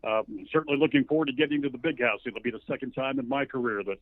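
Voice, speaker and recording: A man's voice: mid-range voice, racing, no room sound. American man in his sixties on a phone line. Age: 60s. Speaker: radio play-by-play announcer as guest. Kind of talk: conversational interview. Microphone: telephone.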